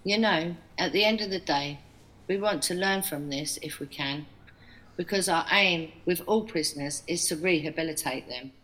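A measured, earnest English prison manager voiced by an actress talks about staff and prisoners in an audio drama. Her voice sits fairly low and stays reasonable. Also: a bit bright, natural voice, low-quality recording. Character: woman prison staff member, earnest, defensive.

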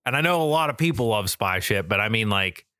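Bright, rambling American man, close and dry. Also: fairly low pitch, expressive, quick pace, no echo. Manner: enthusiastic, rambling, profane. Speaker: podcast co-host. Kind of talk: casual chat.

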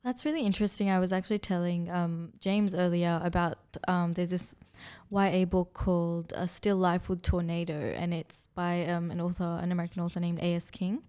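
A woman's voice: lowish voice, level tone, normal speed, hardly any pauses, dark tone, smooth. A woman co-hosting a radio interview, thoughtful and chatty. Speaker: radio co-host.

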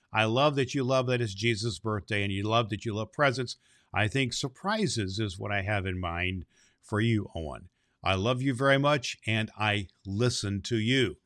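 A man's voice: mid-range voice, sharp tone, no echo, studio quality. A man presenting as Santa Claus, speaking affectionately and in an avuncular way to young listeners. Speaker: host of a children's Christmas podcast, presenting as Santa Claus. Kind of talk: storybook reading and friendly address to children.

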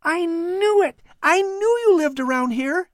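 A man voicing a keen, nature-wise young boy who speaks eagerly and brightly. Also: very high voice, expressive, a little slow, dry recording, studio quality, pitched above the performer's own voice. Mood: excited.

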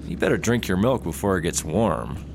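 Excitable, eager young traveler, man playing, lively pitch, noisy plane-cabin background. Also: moderate pace, creaky. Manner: excitable, pitch jumps high when excited. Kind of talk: comedy audio drama acting.

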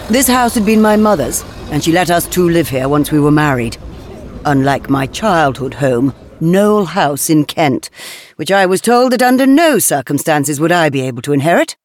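An actress with an English accent playing a refined, aristocratic woman writer in a wistful, measured first-person audio monologue. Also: low-pitched, darkish tone, a little hiss or hum. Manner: measured, literary, genteel delivery. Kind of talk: scripted first-person monologue, reflective storytelling.

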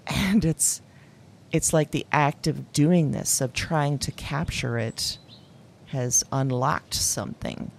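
An actress playing a lonely, awkward office worker, confiding haltingly and candidly in an audio diary drama. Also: female voice, low voice, vocal fry, a little hiss or hum, heavily acted. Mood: unsettled, intrigued. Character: lonely, awkward woman office worker keeping a dream journal.

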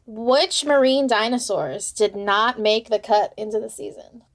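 A woman's voice: on the high side, measured pace, no room sound. A woman hosting a podcast interview in a casual, conversational style.

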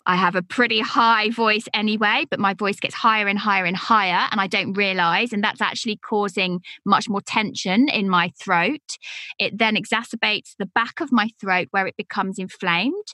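Bright-voiced woman hosting a podcast. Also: medium pitch, fairly even intonation, normal speed, no echo. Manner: chatty, explains from personal experience. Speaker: podcast host. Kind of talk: casual podcast conversation. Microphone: podcast microphone, seated.

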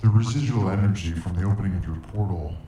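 Very deep, echoing alien void being, acted by a man. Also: warm tone, slight background noise, lightly acted. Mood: solemn. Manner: formal, archaic, slow deliberate diction. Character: male nine-foot interdimensional void being, grave, solemn, ancient. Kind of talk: audio drama acting.

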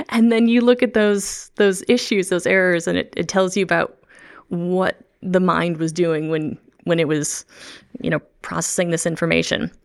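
A middle-aged woman talks casually in an interview. Her voice sits on the low side with a gravelly, fry-tinged edge. She laughs often and trips into restarts and ums as she explains her work. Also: steady tone, normal speed. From Midwest United States. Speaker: co-host, language researcher.

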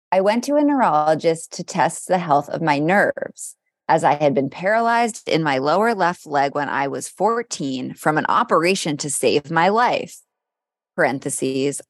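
A woman's voice: low voice, animated, a little slow, no echo, clean studio sound. A woman co-hosting a podcast in a casual, conversational style. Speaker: podcast co-host. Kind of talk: casual chat between co-hosts, some reading aloud.

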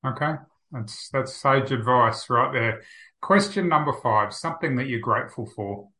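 A man hosting an interview podcast, introducing a guest and asking quick questions in an upbeat, brisk way. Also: medium pitch, bright, forceful tone. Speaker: podcast host.

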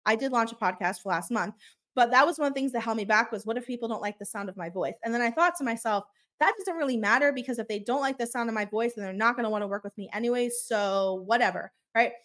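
High-voiced woman giving an upbeat coaching talk. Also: fast talker. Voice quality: high-pitched voice. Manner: energetic, direct, asks rhetorical questions, checks in with "okay?"; slight stutter. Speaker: podcast host and business coach. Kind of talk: motivational solo podcast talk.

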